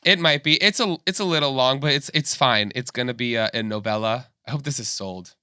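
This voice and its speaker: A man co-hosting a podcast in crude, excitable chat, sniffly, laughing and swearing as he reads fanfic aloud. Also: medium pitch, a little fast, smooth, no echo, clean studio sound. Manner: profane, excitable, laughing often. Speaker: podcast co-host.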